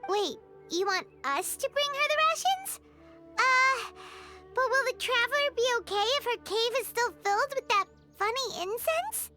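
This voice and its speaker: A woman voicing a tiny, childlike, excitable fairy companion in a video game, squeaky and blunt in her exclamations. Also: normal speed, over music. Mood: curious, surprised. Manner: exclamatory, questioning, refers to self in third person.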